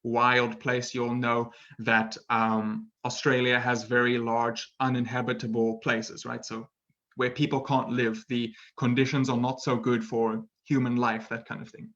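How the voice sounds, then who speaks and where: A man's voice: mid-range voice, flat delivery, measured pace, fairly bright tone, dull audio. A male English teacher giving a casual webinar lesson, talking in a playful way and tagging his sentences with "right?". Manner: chatty, tags sentences with "right?"; laughs at himself, playful. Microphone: computer mic for an online webinar.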